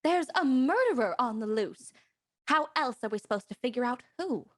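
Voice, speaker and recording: High-voiced, theatrical, bold house guest. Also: female voice, expressive, moderate pace. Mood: pointed. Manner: teasing, theatrical. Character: bold, flirtatious house guest, broke woman in Victorian mystery. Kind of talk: period radio-play acting.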